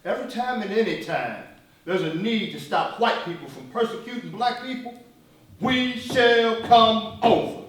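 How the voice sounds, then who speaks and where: A man's voice: high-pitched, lively intonation, measured pace. A man playing a fiery, mocking militant civil rights leader in a stage play, speaking in declamatory rhetoric. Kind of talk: theatrical one-act stage play acting. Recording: echoey stage recording from far off.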